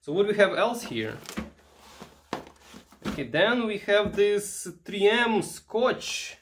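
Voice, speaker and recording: A man's voice: sits high, very animated. Man musing casually over noisy recording. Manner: halting, musing aloud while handling items. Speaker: vintage electronics vlogger. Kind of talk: casual unscripted show-and-tell commentary.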